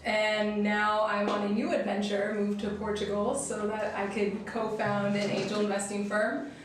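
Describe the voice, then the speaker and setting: Woman, flat even voice, lots of ums, far off in a super echoey room. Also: medium pitch, background noise. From Southern California. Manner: unhurried, with many fillers. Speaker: co-founder of an investing firm. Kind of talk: casual self-introduction.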